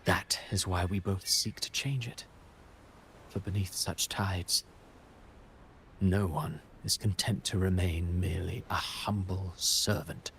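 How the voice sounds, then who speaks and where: A scheming playwright from a video game, low in pitch and unfailingly courteous. He speaks with composed, poetic formality, as if every line belonged to a stage script. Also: steady tone, slow pace, fairly bright tone, a little hiss or hum, heavily acted. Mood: solemn, resolute. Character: courteous, scheming playwright villain, calm and theatrical. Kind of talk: video game cutscene acting.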